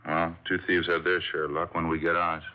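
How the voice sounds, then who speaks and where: Blunt, flat-voiced police captain as an actor plays him. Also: male voice, low-pitched, fairly quick, lightly acted. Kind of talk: 1950s radio drama acting. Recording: dull old radio broadcast with loud hiss.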